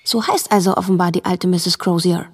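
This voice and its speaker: A woman's voice: fairly low pitch, fairly even intonation, brisk, breathy edge. An actress playing a curious, observant teenage girl who narrates her own story matter-of-factly in a radio play. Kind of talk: scripted radio drama narration.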